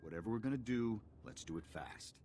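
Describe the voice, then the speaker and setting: A cynical soldier from a video game, acted by a man. His lowish voice is blunt, impatient and dismissive. There is faint ambient noise in the background. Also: expressive, a little fast, dark tone, natural voice. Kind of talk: scripted video game acting.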